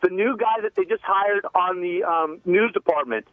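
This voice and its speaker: High-voiced man on a tinny phone line. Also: normal speed, no room sound. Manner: insistent, interrupting. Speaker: radio call-in caller. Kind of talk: casual argumentative phone call. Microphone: telephone.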